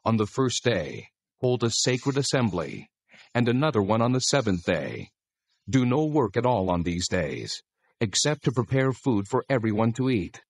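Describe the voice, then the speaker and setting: A man playing God as a solemn, authoritative deity, giving measured instructions in a dramatized scripture reading. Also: mid-range voice, big pitch swings, breathy edge, no echo, clean studio sound. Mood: solemn, commanding.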